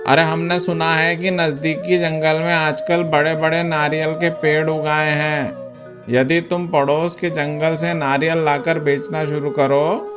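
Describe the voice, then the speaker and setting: A woman voicing a male bird in a children's cartoon, with a brisk, matter-of-fact manner. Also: sits low, level tone, music in the background. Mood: friendly concern. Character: male cartoon birds: friendly parrot, pushy crow seller. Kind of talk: Hindi children's cartoon dubbing.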